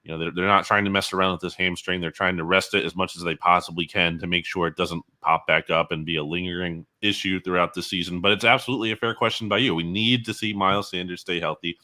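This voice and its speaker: Deep, bright-voiced male sports analyst. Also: fairly even intonation, quick pace, few pauses.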